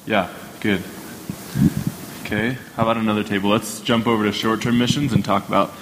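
Man, lowish voice, group facilitator, brief questions, faint background noise. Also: level tone, a little fast. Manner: prompting with short questions and acknowledgements. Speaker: class discussion leader.

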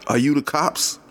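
A man playing a sick, suspicious, bitter patient in an audio drama, husky and hostile. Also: fairly high pitch, fairly bright tone, heavily acted. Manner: hostile, scornful. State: sick, coughing.

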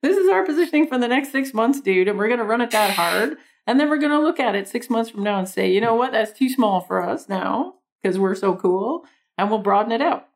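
Canadian woman, podcast guest; energetic storyteller who laughs easily. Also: fairly high pitch, a little fast. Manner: energetic storyteller, laughs often, quotes people in voices. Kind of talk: podcast interview.

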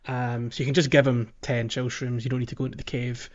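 A man's voice: medium pitch, level tone, fast, muffled recording. A man co-hosting a casual video game podcast, talking in a hesitant way as he searches for his words. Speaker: podcast co-host.

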